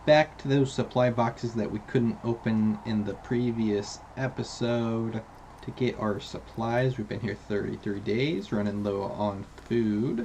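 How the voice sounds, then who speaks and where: A man's voice: medium pitch, darkish tone. A laid-back man giving matter-of-fact let's play commentary as the host of a gaming video. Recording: dull, lo-fi mic with clear noise.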